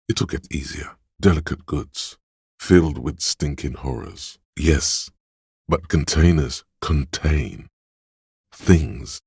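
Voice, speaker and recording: A man voicing a detective's sly inner sense of touch as scripted game narration, gravelly and husky, measured and insinuating. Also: rumbling low, no room sound, studio quality, full character voice. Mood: coaxing, knowing, then sly, intrigued.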